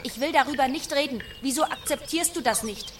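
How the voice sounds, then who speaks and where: High-voiced, curious female AI played by a woman. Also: a little fast, music in the background, lightly acted. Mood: guarded. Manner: short, literal, matter-of-fact questions and statements. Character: curious, blunt young female artificial intelligence, naively questioning. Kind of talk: German radio drama acting.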